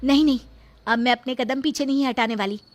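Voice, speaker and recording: A woman's voice: high-pitched, fairly quick, natural voice. A woman voicing a bold, determined elder sister in a cartoon, confident and commanding. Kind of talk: Hindi cartoon voice acting.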